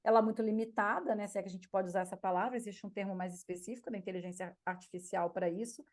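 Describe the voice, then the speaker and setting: A woman hosting a podcast, chatting and asking her guest questions in a lively, animated way. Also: mid-range voice, rapid-fire. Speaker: podcast host. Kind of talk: casual interview conversation.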